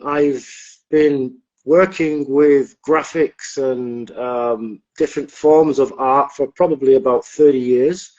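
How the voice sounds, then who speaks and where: Middle-aged man on dull lo-fi audio. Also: on the high side. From Manchester, England. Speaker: guest, digital artist. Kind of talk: casual interview answers.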